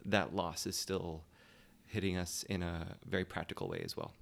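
A man speaking as a guest in a casual panel discussion, thoughtful and often pausing to search for words. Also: sits low, sing-song, vocal fry.

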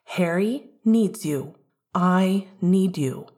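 A woman's voice: on the low side, very slow, darkish tone. A woman reading an upbeat, chatty young man, a caring best friend with an eager, insistent manner.

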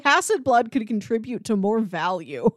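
A woman's voice: on the high side, normal speed, no room sound, clean studio sound. A woman co-hosting a movie podcast, chatting casually and acting out imagined lines as she goes. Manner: animated, acts out imagined dialogue. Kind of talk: casual film discussion.

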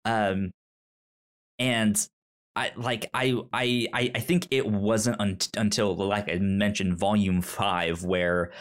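Guy chatting on a podcast, kinda halting, trips over and repeats words a lot. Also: on the low side, steady tone. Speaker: podcast co-host. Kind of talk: casual book discussion chat.

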